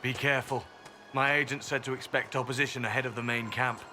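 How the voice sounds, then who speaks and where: A man's voice: mid-range voice, flat delivery, quick pace, faint music behind. A man playing a guarded elf spy and former slave in a video game, speaking dryly and earnestly. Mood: cool, businesslike. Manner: dry, matter-of-fact, quietly earnest. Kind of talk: video game cutscene acting. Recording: game capture with loud ambient background noise.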